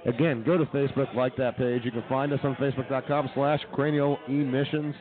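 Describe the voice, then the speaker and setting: Talk radio host: American man, mid-pitched conversational voice, narrow tinny broadcast sound. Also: steady tone, a little fast, over music. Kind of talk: casual sports talk radio. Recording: muffled, band-limited live broadcast.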